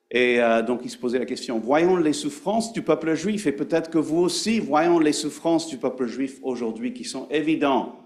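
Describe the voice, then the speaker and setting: Guy giving a sermon, chatty and a bit halting, ordinary mid pitch. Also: a little mellow. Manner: conversational, halting with fillers. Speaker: preacher.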